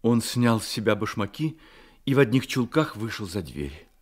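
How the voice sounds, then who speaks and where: A man narrating a literary radio drama as an expressive, measured storyteller. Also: on the low side. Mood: hushed suspense. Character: storyteller of a boy's secret adventure.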